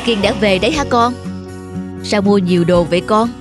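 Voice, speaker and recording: A sensible middle-aged mother from a cartoon drama, played by a woman. She is mild and concerned, gently admonishing, with music clearly audible behind her voice. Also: mid-range voice, moderate pace, lightly acted.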